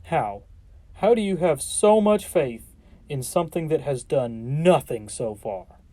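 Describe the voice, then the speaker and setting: A man's voice: a little high, expressive, measured pace, mellow, dry recording. An actor playing a frightened, doubting man in an audio drama, challenging and agitated. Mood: fearful doubt.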